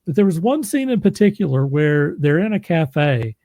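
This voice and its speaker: A man with a gravelly voice, a podcast host and audiobook narrator, chatting casually and restarting his sentences as he goes. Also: a little high, big pitch swings, dark tone, dry recording, studio quality. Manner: halting, restarts mid-sentence, chuckles. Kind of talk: casual interview chat.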